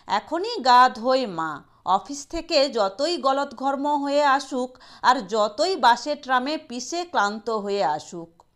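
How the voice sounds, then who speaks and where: Woman reading an audio story, high voice, really slow pace, expressive literary narration.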